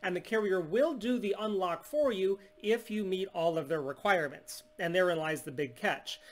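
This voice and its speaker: A man in his thirties from the United States, a steady, salesy business owner explaining a tablet unlock to camera in a small room. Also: sits high. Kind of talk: scripted product demonstration. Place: small indoor room at home.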